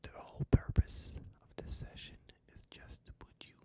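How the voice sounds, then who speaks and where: A host whispering a sleep hypnosis session in a breathy, soothing, deliberate way, phrase by phrase. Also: mellow.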